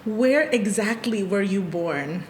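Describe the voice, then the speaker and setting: A woman's voice: expressive, takes it slowly. Chatty woman pastor in an echoey room. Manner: laughs often, loose and chatty. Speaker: pastor and podcast host. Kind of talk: casual church podcast chat. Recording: echoey room with faint rumble.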